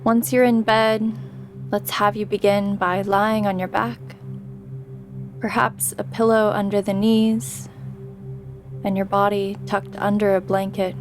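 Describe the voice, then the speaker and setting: A woman leads a guided sleep meditation at a very slow, unhurried pace. Her mid-pitched voice stays flat and even, gently instructing the listener. Soft music plays behind her. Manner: gentle, soothing, unhurried instruction with long pauses.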